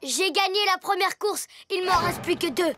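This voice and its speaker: A woman's voice: very high-pitched, normal speed, a bit bright. A woman voicing a boastful, mischievous young ninja boy villain with a cocky manner in a cartoon dub. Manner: cocky, bragging. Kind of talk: animated cartoon dubbing. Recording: music behind the voice. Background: music.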